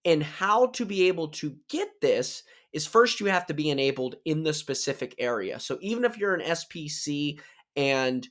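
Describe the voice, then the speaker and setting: A man's voice: fairly high pitch, expressive, normal speed, a bit bright. A man hosting a solo podcast, explaining casually with restarts while nursing a sore throat from a cold. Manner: upbeat, chatty, with restarts and self-corrections. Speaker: podcast host.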